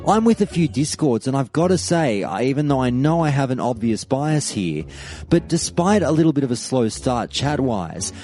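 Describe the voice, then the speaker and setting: Animated male podcast host. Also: mid-range voice, normal speed. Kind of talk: podcast monologue reading listener emails.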